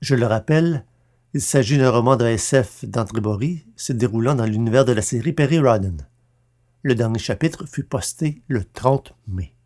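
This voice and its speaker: A man works as narrator and podcast host, reading from a script. His delivery is formal and carefully enunciated. The voice has a medium male pitch. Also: moderate pace, a little mellow.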